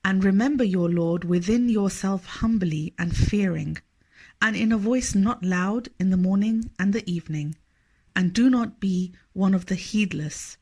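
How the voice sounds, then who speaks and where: A woman reading a scripted scripture study aloud in a measured, formal manner. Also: mid-range voice, a little mellow. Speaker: reader of a religious study text.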